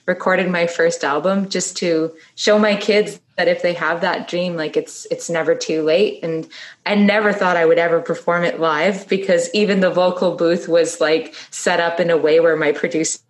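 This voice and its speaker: A Canadian woman in her thirties speaks as an interview guest, her voice sitting on the low side. She tells her story in a loose, chatty way full of "um" and "like". She softens and drops quieter when a subject gets hard. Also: steady tone, moderate pace. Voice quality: low voice for a female singer. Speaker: guest singer-songwriter.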